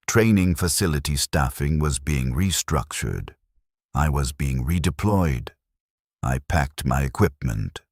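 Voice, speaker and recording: A man narrating a scripted first-person war story in a measured, detached, clinical manner. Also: very deep voice, darkish tone, no echo, clean studio sound.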